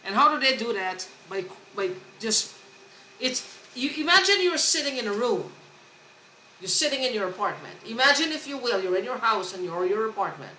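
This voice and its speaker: A woman talking to camera in a rambling personal monologue, halting, restarting and repeating words, earnest and insistent. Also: a little high, lively intonation, pushed and bright, slight background noise. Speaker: vlogger. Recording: echoey room recording.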